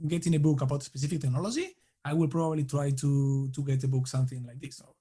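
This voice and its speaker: A man with an Argentine Spanish accent speaks English as a second language, with Spanish as his first. His voice sits a little high for a man, and he talks in a relaxed, halting way, full of fillers and restarts. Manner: halting, many restarts and fillers, laughs lightly. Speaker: guest. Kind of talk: casual interview answers.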